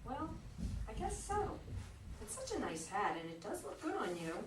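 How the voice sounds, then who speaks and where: A woman's voice: high-pitched, huge pitch swings, normal speed. Heard from far off in an echoey, noisy room, a woman reads the part of a comfortable, well-off woman resident in a stage play. She is polite yet guarded, hesitating as she defends herself. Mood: hesitant, reluctant. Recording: distant echoey live recording, noisy background.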